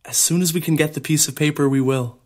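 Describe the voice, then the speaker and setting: An actor playing a loving, joking young groom in a naturalistic audio drama. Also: male voice, on the high side, steady tone, quick pace, darkish tone, close to an everyday voice. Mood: reassuring.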